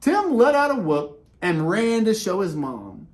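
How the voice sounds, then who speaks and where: Man, slightly high voice, very lively intonation, children's read-aloud, expressive emphasis, dramatic pauses. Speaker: storyteller reading a children's picture book. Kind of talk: animated read-aloud with casual asides.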